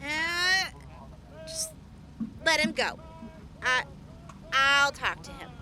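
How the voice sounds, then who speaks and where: A woman in an audio drama playing a concerned young friend, halting but frank. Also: sits high, wildly swooping pitch, sharp tone, a little hiss or hum, exaggerated voice.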